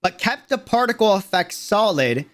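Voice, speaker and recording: A young adult streamer giving casual, slangy, excitable livestream reaction commentary on a game video. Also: mid-range voice, normal speed. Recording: loud music behind the voice. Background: loud game trailer music.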